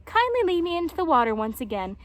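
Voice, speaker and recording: A woman's voice: very high voice, sing-song, quick pace, voice pushed up. A woman voicing a chatty, eager male hedgehog in a storybook, fussy, polite and pleased with himself. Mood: encouraging, fussy.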